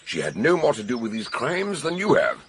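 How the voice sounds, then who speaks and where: An actor playing a blunt British detective who reasons emphatically in a radio mystery drama. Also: male voice, mid-range voice, wildly swooping pitch, a bit bright, slight background noise. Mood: emphatic, argumentative. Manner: emphatic, argumentative, deliberate.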